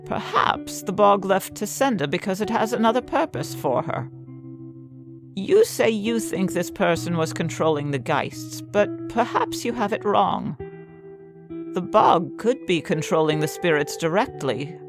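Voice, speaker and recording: A woman's voice: medium pitch, expressive, measured pace, music in the background. A woman playing a hard, devout middle-aged prioress, stern and weary, firm and forthright in a dramatized fantasy audiobook. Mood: grave, convinced.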